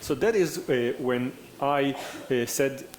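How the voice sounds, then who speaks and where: A man's voice: mid-range voice, slow, a little mellow. A man, a neuroscientist on a conference panel, explaining his ideas in a fluent, semi-casual way. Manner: fluent, explanatory, builds points in lists. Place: conference hall. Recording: echoey hall with faint background noise.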